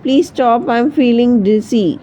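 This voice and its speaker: A woman's voice: a little high, measured pace, mellow, muffled recording, slight background noise, voice pushed up, close to an everyday voice. A woman voicing a cheeky, merry little red hen with a teasing manner in a storybook read-aloud. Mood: weak, dizzy. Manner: cackling, teasing.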